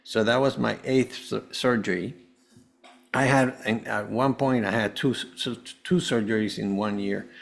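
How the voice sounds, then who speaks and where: A man from Venezuela, a podcast guest, telling a personal story in a halting way, often repeating words. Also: lowish voice, fairly even intonation, vocal fry. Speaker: podcast guest, holistic health coach.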